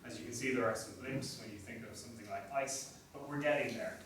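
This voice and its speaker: A young Irish man giving an academic talk as a lecturer, relaxed and self-deprecating, with frequent fillers. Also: mid-range voice, quick pace. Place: seminar room. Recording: echoey room from far off, noisy.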